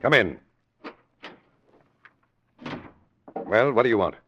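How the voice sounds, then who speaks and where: An actor playing a gruff, corrupt racket boss, brusque and blustering, in old radio-drama style. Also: male voice, on the low side, big pitch swings, fast talker, a little hiss or hum. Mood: irritated, brusque. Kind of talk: 1940s radio acting. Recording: muffled old radio recording.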